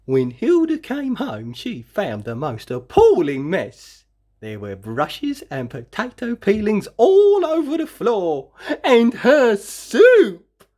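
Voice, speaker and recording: Female storybook reader, expressive narration, unhurried pace, animated intonation, dramatic drawn-out emphasis. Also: mid-range voice. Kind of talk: expressive children's read-aloud narration.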